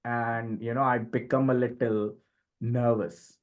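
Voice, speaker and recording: A man's voice: medium pitch, level tone, unhurried, phone-quality sound. A middle-aged man with an Indian accent explains things conversationally as a podcast guest, emphatically and with frequent short tag questions. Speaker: podcast guest, communication and leadership expert.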